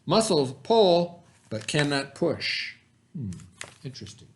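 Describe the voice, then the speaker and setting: A man teaching by reading aloud to pupils, pausing to explain in casual asides and check that they follow. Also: on the high side, very animated, noisy background. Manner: pauses to explain, checks in with "okay?".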